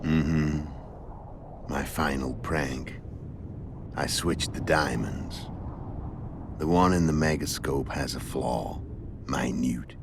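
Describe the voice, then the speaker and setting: An actor playing a hulking, cynical video game assassin, calm and menacing, with a gravelly voice and sardonic manner. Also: male voice, background noise, full character voice. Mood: smug menace. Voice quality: very deep, gravelly. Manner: slow, sardonic.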